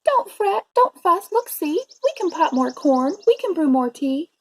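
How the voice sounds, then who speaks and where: A woman voicing a small, kindly storybook mouse with a high, squeaky, gentle and eager voice. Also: big pitch swings, a little slow, full character voice. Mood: soothing, eager.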